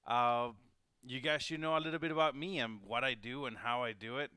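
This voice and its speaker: A man's voice: medium pitch, brisk, bright, forceful tone, clean tone, no room sound. A man from Venezuela hosting a livestream, talking casually and rambling with many fillers and restarts. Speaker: host. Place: home studio.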